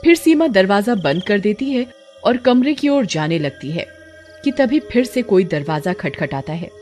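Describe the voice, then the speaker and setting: A woman's voice: medium pitch, expressive, moderate pace. A woman narrator reading a scripted horror story expressively. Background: music.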